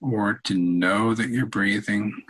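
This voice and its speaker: A man leading a guided meditation in a calm, unhurried, halting way with long pauses and a husky voice. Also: fairly low pitch. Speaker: meditation leader, podcast host. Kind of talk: guided meditation, softly spoken instruction.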